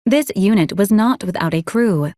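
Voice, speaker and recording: A woman acting as a female service robot from a video game, polite and literal-minded. Her mid-pitched voice is formal, precise and clinically detached, delivering everything deadpan. The sound is very dry, with no room around it. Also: normal speed, no room sound, natural voice. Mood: flat, clinical. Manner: formal, precise, deadpan, clipped machine phrasing. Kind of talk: scripted video game character lines.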